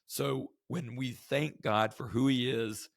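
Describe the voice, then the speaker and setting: A man hosting a devotional podcast, his voice hoarse from the flu, teaching in an earnest, conversational way with rhetorical questions. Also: medium pitch, fairly even intonation, slow pace, no room sound, clean studio sound. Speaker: podcast host.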